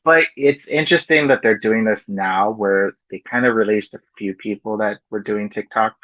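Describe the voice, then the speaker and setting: A man's voice: on the low side, moderate pace, dry recording, muffled recording. A man co-hosting a podcast, talking loosely and chattily, full of "you know" and "like". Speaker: podcast co-host. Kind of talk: casual chat.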